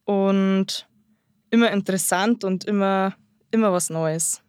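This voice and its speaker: A woman's voice: mid-range voice, slow pace, a little mellow, smooth, dry recording, studio quality. A nineteen-year-old woman answering interview questions about her trade in a casual, hesitant way, with frequent fillers and restarts. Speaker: guest, apprentice-trained carpenter.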